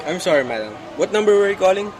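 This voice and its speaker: A woman's voice: medium pitch, sing-song, rapid-fire, natural voice. A woman playing a polite, unruffled telephone operator with a formal, routine manner in an amateur radio play.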